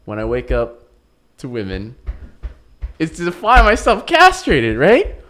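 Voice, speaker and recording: A man co-hosting a casual podcast, his pitch swinging up and down. He talks animatedly, laughs often and cuts in on his partner. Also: huge pitch swings, measured pace, a bit bright. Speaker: podcast co-host. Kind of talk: casual chat.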